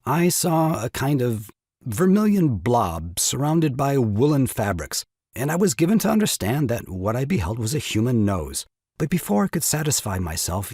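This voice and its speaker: An actor playing a glib, evasive young husband, self-justifying, in a theatrical period farce audio drama. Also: male voice, mid-range voice, sing-song, moderate pace, dark tone, slightly rough, no echo, clean studio sound. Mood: aggrieved, precise.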